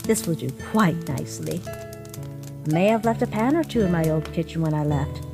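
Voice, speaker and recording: A woman voicing a gentle, motherly monster queen in a comic dub, speaking with formal, measured politeness. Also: sits low, lively intonation, gravelly. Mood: warm, motherly. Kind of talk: comic dub character acting. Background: music.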